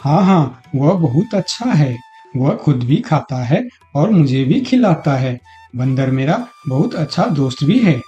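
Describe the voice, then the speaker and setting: A man's voice: a little high, very animated, unhurried, dark tone. A man playing a meek, devoted crocodile husband in a moral-story cartoon, earnest and pleading in manner. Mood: affectionate. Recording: dull lo-fi audio, music behind.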